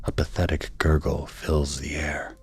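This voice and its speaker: A man's voice: extremely low pitch, flat delivery, unhurried, breathy edge, exaggerated voice. A man playing an anguished husband and father, narrating an audio drama in a grave, dramatic way. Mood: grave, tense. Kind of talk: scripted first-person audio drama narration.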